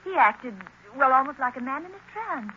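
A woman's voice: high-pitched, expressive, normal speed. A woman playing an earnest, inquisitive society companion in a 1930s radio drama, questioning and pleading. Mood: earnest, worried. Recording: noisy muffled 1930s radio recording.

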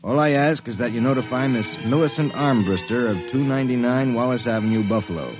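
A man's voice: medium pitch, fairly quick, natural voice. Guy acting as tough wisecracking private detective, slangy old radio show style, music behind. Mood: grim, wry. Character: wisecracking hard-boiled private detective, a man. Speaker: narrator and lead actor. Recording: vintage radio drama recording.

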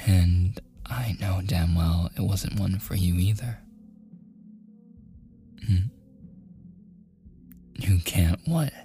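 A man playing a smitten, insistent young man in a boyfriend ASMR roleplay, speaking softly and haltingly, at times in a breathy, coaxing whisper. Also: sits low, heavily acted. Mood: teasing, insistent.

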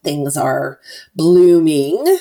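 A woman co-hosting a podcast, stuffy and talking through her nose, speaking in a reflective, halting way with many restarts. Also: deep voice, big pitch swings, dark tone. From Tennessee. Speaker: podcast co-host. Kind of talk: casual podcast chat.

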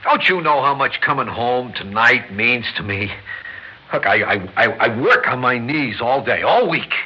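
An actor playing a hot-tempered but devoted young working-class American husband in a classic radio drama, with rough, stammering speech. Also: male voice, mid-range voice, expressive, moderate pace. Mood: heated, pleading. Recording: muffled old radio recording, faint noise.

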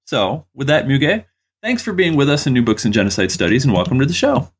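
A man's voice: medium pitch, big pitch swings, a little fast, husky, highs cut off. A man hosting an interview podcast, introducing and questioning a guest.

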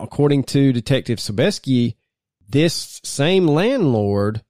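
A man co-hosting a podcast, telling the details of a true crime case in a measured storytelling way. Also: medium pitch, a little mellow, no echo, clean studio sound. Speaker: podcast co-host.

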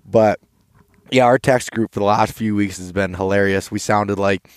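Male host, twenties, informal and enthusiastic, slightly low clear voice, casual conversation. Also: fairly even intonation, moderate pace, dry recording, clean studio sound. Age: 20s. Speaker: podcast host. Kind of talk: casual chat. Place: outdoors by a campfire.

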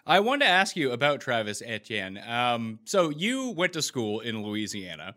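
Bright-voiced Canadian man hosting podcast. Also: mid-range voice, very animated, moderate pace. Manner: conversational, asks long framing questions. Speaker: podcast host. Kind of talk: sports podcast interview.

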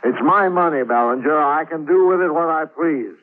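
An actor playing a stern, wealthy older uncle, blunt and imperious, in a 1940s radio drama. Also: male voice, fairly high pitch, moderate pace, narrow, tinny audio, lightly acted. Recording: thin band-limited old radio recording.

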